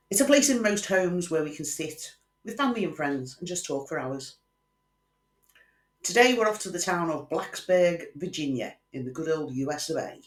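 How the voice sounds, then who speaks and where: A man's voice: on the high side, lively intonation, moderate pace, pauses often. A man hosting a true crime channel, telling a case in scripted narration with wry conversational asides to the viewer. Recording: echoey room.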